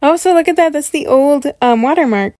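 A woman hosting a casual, chatty reaction video, gushing and excitable, often repeating words for emphasis. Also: high voice, brisk, no room sound, studio quality. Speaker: host of a reaction video series.

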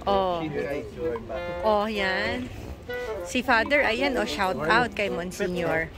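A woman's voice: medium pitch, takes it slowly. A woman with a Filipino accent, chatting casually as a travel vlogger and laughing often. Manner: chatty, mixes English and Tagalog, laughs often. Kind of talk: casual travel vlog narration. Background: background music.

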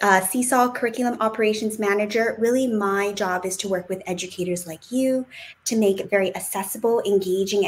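A woman presenting an online teacher workshop on a video call, upbeat and welcoming, explaining things in clear steps. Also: medium pitch. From United States. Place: home room on a video call.

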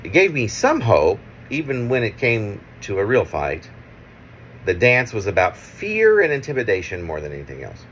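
A middle-aged man reading his own poem aloud in halting, measured pieces, with casual asides. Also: on the low side, wildly swooping pitch, a bit bright, a little hiss or hum. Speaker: poet reading own work. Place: small room at home, indoors. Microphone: phone at arm's length.

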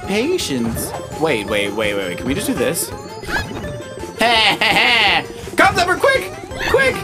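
Excitable kid gamer, wildly varying pitch. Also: slow pace. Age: child. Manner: exclamatory, repeats words and phrases. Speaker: Let's Play host. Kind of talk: excitable gameplay commentary. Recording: loud game music behind the voice. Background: video game music.

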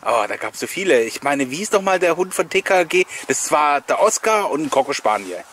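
A man's voice: a little high, very animated, brisk, fairly bright tone, a little hiss or hum. A man hosting a nostalgic video with chatty, rambling voice-over commentary and personal anecdotes, often chuckling as he goes. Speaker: host of a collectors' nostalgia video channel.

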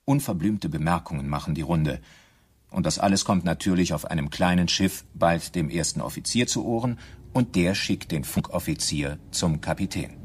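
A man narrating a German radio drama in a measured, matter-of-fact, scripted style. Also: low voice, breathy edge. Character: sober, knowing male documentary-style narrator.

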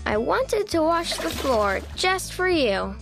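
A small, sweet critter child acted out by a woman tells a children's storybook tale in a high, very lively voice. The delivery is unhurried, earnest and simple, with music playing behind it. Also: vocal fry, exaggerated voice. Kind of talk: read-aloud children's storybook narration.

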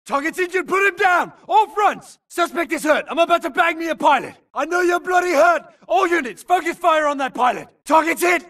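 Male infantry grunt for a shooter game, forceful and sharp, urgent barked callouts, a little off-mic. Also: extremely high pitch, far from the mic. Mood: aggressive. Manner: urgent, clipped, forceful barking. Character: battle-hardened male infantry grunt barking combat callouts. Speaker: soldier in a video game. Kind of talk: military shooter game battle chatter.

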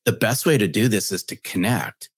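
A man's voice: fairly low pitch, lively intonation, moderate pace, slightly rough, dry recording, studio quality. A middle-aged man co-hosting a podcast over a video call, making points emphatically and repeating them for stress. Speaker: podcast co-host. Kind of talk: podcast interview chat. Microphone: video call with headset.

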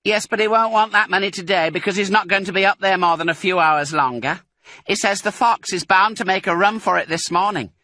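A man voicing a sharp, impatient and bossy farmer's wife. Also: sits high, a little fast, dry recording, studio quality, voice pushed up.

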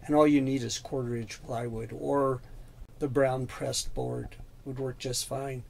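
An older man with a gravelly, dark voice gives a casual, unhurried walkthrough, pausing with the odd "uh." It sounds like it was picked up on a handheld camera mic in a small wood-paneled basement room. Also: medium pitch. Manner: plain, unhurried explaining with fillers. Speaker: hobbyist showing his home music room.

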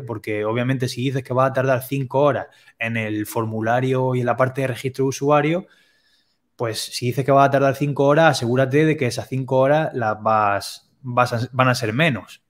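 A young man calmly explaining pricing step by step on a video call, headset mic in a home room. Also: mid-range voice. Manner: plain, step-by-step explanations with quick asides. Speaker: web development teacher, guest expert.

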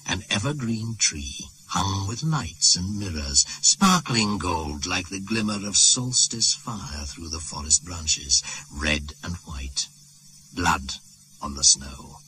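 A man's voice: deep voice, lively intonation, slow, pushed and bright, slightly rough, exaggerated voice, poor audio. A man playing an ancient, menacing shaman spirit in a radio drama, speaking in an incantatory, ominous manner. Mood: hushed, beckoning. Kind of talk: 1980s radio drama monologue. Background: music.

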